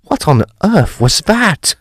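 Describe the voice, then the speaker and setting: A man playing a decisive, inquisitive gentleman detective of the occult in a period Gothic audio drama, with grand theatrical delivery. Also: high-pitched, big pitch swings, no room sound, studio quality, heavily acted. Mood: brisk, intrigued. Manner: grand, deliberate, theatrical exclamations.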